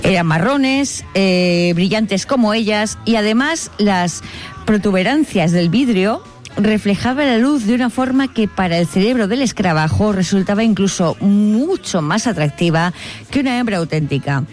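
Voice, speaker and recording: A woman hosting a podcast, telling an animated, comic story and acting out quotes. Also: medium pitch, normal speed, soft background music. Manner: animated, acts out quotes in funny voices. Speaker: podcast host.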